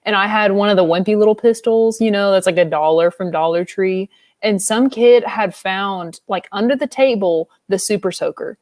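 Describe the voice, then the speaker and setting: A woman's voice: mid-range voice, normal speed, dry recording. Young woman, dry close sound. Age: young adult. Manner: lively, self-mocking humor. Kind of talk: panel sharing a story.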